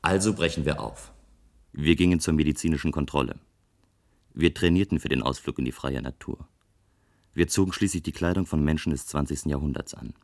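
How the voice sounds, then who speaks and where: An actor playing a restless, playful man of a future city in a German radio play, with a measured, musing delivery. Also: extremely low pitch, a little mellow.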